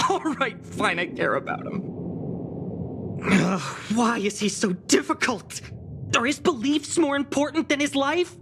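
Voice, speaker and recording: A woman's voice: sits high, lively intonation, measured pace, noisy background. A woman voicing a proud, flustered young video game character who is huffy and dramatic and hides affection. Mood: exasperated worry.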